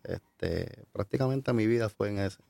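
A Puerto Rican man telling his life story as an interview guest, halting with many fillers and restarts. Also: lowish voice, vocal fry, dark tone. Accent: Puerto Rican Spanish. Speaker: guest giving a testimony. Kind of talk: hesitant conversational storytelling.

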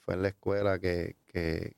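Low gravelly Puerto Rican man, halting. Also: flat delivery, darkish tone, no echo. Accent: Puerto Rican Spanish. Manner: halting, many fillers and restarts. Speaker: guest giving a testimony. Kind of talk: hesitant conversational storytelling.

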